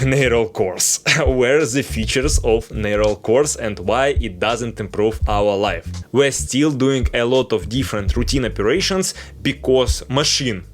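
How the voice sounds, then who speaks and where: A young man whose first language is Russian, speaking English with a Russian accent, ranting in a frustrated, opinionated tech vlog full of fillers and short laughs. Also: medium pitch. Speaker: video editing YouTuber.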